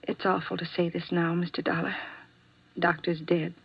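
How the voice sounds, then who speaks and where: An actress playing a weary, nervous nurse who talks quietly and hesitantly in a 1950s radio drama. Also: female voice, fairly low pitch, flat delivery, normal speed, vocal fry, slightly rough. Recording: dry, muffled old radio broadcast.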